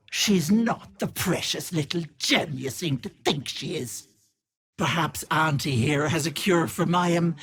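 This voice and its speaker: An actress voicing a wicked old hag with a raspy, cackling voice, taunting with sugary pet names. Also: female voice, low-pitched, heavily acted. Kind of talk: video game voice acting.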